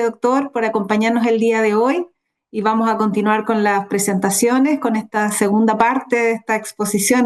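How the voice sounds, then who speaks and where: A woman with a Chilean accent, moderating an online academic event in a polite, formal way and reading questions aloud. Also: fairly high pitch.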